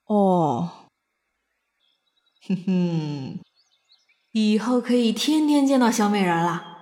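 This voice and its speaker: 女声优演的回音很重的少女俏皮心声. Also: female voice, mid-range voice, lively intonation, slow, mellow. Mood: playful. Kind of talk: inner monologue in audio drama. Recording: echoey long-reverb thought effect.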